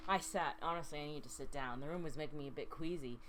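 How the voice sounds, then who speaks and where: A woman playing a game with friends, reading its narration aloud and reacting casually in a playful, expressive way. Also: sits low, fast, a little hiss or hum. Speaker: let's player. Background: other players' chatter.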